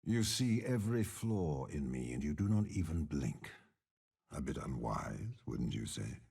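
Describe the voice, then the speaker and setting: An actor playing a commanding, cunning older general in a video game, with a raspy voice and a measured, imperious delivery. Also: male voice, very animated, darkish tone, exaggerated voice. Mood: cold taunting. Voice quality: deep gravelly rasp. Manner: slow, grandiose, measured, imperious. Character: commanding older male warlord general, cold, cunning, menacing. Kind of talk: video game character voice lines.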